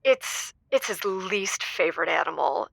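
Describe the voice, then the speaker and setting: A woman's voice: fairly low pitch, measured pace, gravelly, a bit bright, no echo, exaggerated voice, low-quality recording. A woman playing a playful, mischievous wife telling stories as a cheerful caller on a comedy radio show. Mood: gleeful, mischievous. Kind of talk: improvised comedy storytelling.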